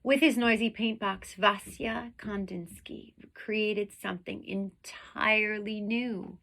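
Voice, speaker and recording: Slow, bright-voiced woman reading a storybook. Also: mid-range voice, steady tone, gravelly. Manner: deliberate, dramatic phrasing with emphasis on vivid words. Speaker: teacher reading a picture book aloud. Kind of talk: expressive storybook read-aloud.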